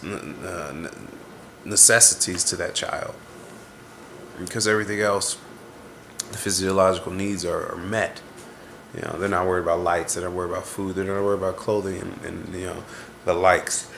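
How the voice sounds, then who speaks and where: A man's voice: low voice, creaky, fairly bright tone, slight background noise. A man in his twenties, congested, talking to camera in a rambling, introspective way as he vents. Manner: rambling, introspective, searching for words. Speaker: vlogger. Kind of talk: casual reflective venting to camera.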